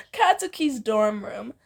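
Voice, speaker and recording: A woman's voice: on the high side, vocal fry. A woman reading fan fiction aloud informally, giggly and theatrical, often breaking off into banter.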